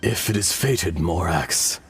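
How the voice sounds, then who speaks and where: An actor playing an ancient male earth dragon, solemn and fatalistic, speaking slowly and gravely in a game cutscene. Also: animated, slight background noise, full character voice. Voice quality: deep, slow and grave.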